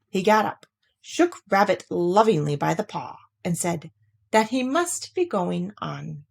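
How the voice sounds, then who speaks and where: Slow, very expressive woman storyteller. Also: lowish voice, gravelly, breathy edge. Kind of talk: expressive children's story narration.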